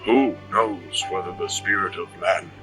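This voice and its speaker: A man playing a solemn village reverend in an audio drama, preaching and reciting scripture in a measured way. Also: sits low, far from the mic, over music, rough recording. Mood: solemn, prayerful.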